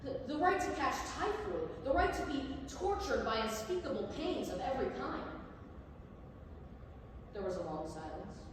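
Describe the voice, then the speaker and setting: A woman's voice: high-pitched, big pitch swings, moderate pace, background noise. A woman giving a dramatic staged reading of a novel, recorded from far off in an echoey theatre. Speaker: stage reader.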